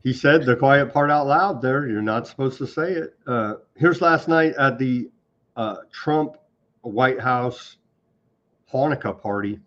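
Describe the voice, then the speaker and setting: A man's voice: medium pitch, a little slow, fairly bright tone. A man hosting a political commentary show, talking in a sarcastic, conversational way. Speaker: host. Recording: dull lo-fi sound.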